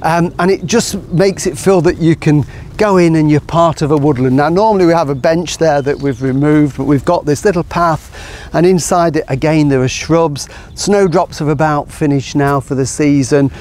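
Middle-aged gardener outdoors. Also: male voice, on the high side, normal speed. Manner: relaxed, enthusiastic explaining. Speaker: gardener and show host. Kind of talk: guided garden tour to camera.

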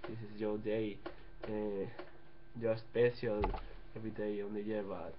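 Slow-talking man, dull webcam sound. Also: lowish voice, level tone, a little hiss or hum.

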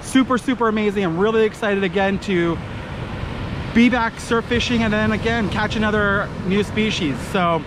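A man's voice: high voice, normal speed, background noise. A young man filming a fishing vlog on a sunny beach with a chest-mounted camera, talking upbeat and eager to his viewers. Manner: upbeat, keeps saying he is super excited. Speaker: fishing vlogger. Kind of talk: casual vlog narration to camera. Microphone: chest-mounted action camera.

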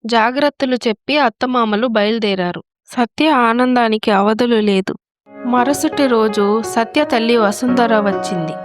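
A woman's voice: a little high, measured pace, soft background music. A woman narrator telling a scripted moral story.

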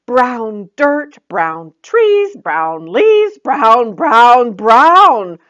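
A woman's voice: fairly high pitch, sing-song, extremely slow, a bit bright, pitched above the performer's own voice, exaggerated voice. A woman voicing a plain young fairy princess for a storybook, glum and self-pitying, complaining with emphasis. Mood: indignant, glum.

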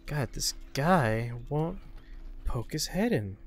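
A man's voice: a little high, animated, takes it slowly. A man doing casual let's play commentary as host, muttering to himself with self-deprecating swearing. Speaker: gameplay show host. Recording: clear background game noise. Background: video game sound effects.

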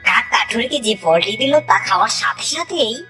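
A woman's voice: mid-range voice, expressive, a little fast, bright, forceful tone, recorded from a distance, soft background music. A woman narrating a scripted cartoon moral story as the storyteller.

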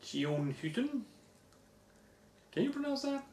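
A hoarse young man on a living room couch, casually reading off movie box features. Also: very high-pitched, sing-song, measured pace, darkish tone. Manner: reads off lists, offhand comments. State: hoarse, losing his voice. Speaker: vlogger. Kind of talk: casual unboxing chat. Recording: echoey room.

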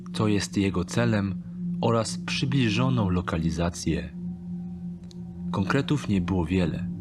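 A man reads a dark thriller story as an audiobook narrator. His voice sits fairly low and moves very slowly, with a deliberate, measured delivery. Loud atmospheric ambience with ringing tones runs behind him. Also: heavy background noise. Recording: strong ambient sound behind the voice.

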